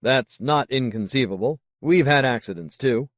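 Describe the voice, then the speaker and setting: A man voicing a solemn, zealous colony leader in an audiobook, speaking in a formal, deliberate, oratorical way. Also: mid-range voice, fairly bright tone, no room sound, studio quality, voice pushed up, lightly acted. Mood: grave, urging. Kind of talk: audiobook character dialogue.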